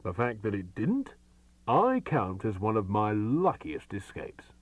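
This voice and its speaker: A man's voice: on the low side, wildly swooping pitch, a little slow, no echo, lo-fi sound, heavily acted. A man voicing a pompous, self-satisfied great detective in a comic story reading.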